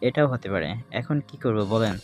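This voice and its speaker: A man's voice: fairly low pitch, animated, quick pace, a little mellow, close to an everyday voice. A man playing a nervous, deferential gang henchman in an audio drama. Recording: background music under the voice.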